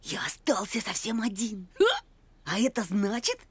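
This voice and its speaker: A woman voicing a carefree, messy young boy puppy in a children's cartoon, with an expressive, boyish delivery that grumbles and exclaims. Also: medium pitch, measured pace, background noise, natural voice. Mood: puzzled, uncertain. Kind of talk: children's animated series acting. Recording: music under the voice.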